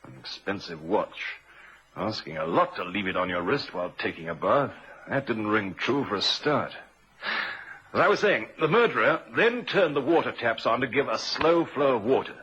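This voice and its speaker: An actor playing a shrewd, unhurried police inspector in an old-time radio drama, a man with a measured, probing, quietly assured manner. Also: mid-range voice, expressive, slightly rough. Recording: muffled vintage radio recording.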